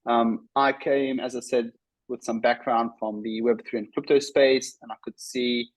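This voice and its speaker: South African man, halting, dry sound. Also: medium pitch, steady tone, no room sound, poor audio. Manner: talks in short bursts with many "um" and "uh" pauses. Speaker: guest, startup CEO. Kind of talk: casual interview talk.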